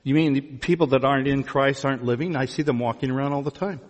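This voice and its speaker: A male pastor delivers a conversational sermon in a reverberant hall. His voice sits at a medium pitch as he teases listeners, asks them questions and repeats their answers back. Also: fast talker. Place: large church room before a congregation.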